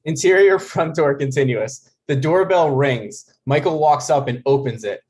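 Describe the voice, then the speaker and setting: A man's voice: fairly high pitch, normal speed, a little mellow, a little hiss or hum. A man hosting a live table read and narrating the screen directions of a script. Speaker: host and reader of screen directions.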